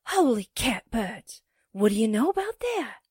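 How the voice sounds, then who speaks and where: A woman acting a slangy, grumbling young newspaperwoman sidekick in a 1940s-style radio drama, with comic, drawn-out delivery. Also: on the high side, big pitch swings, a little mellow, breathy edge, no echo, studio quality, full character voice. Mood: wry, complaining.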